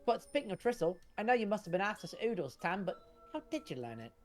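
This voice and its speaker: Casting: kindly colloquial pal to a child, played by a woman, put-on British accent, lively, light music behind. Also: medium pitch, quick pace, lightly acted. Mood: friendly, encouraging.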